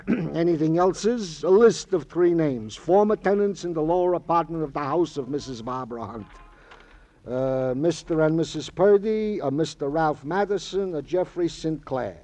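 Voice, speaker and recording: A man's voice: on the high side, lively intonation, moderate pace, warm tone. An actor playing a wordy, wistful police sidekick in a 1950s radio crime drama, savoring his oddly formal phrases theatrically. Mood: eager, formal. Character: wistful, florid, wordy male police colleague, eager to please. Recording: dull, muffled old radio recording.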